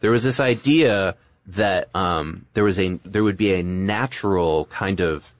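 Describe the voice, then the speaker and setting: A man with a low voice talks casually as a podcast co-host. He explains things conversationally, with little asides, in a dry, close recording. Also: unhurried, dry recording.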